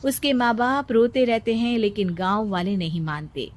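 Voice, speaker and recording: A woman's voice: a little high, steady tone, normal speed. A woman reading scripted narration for a moral story as the storyteller.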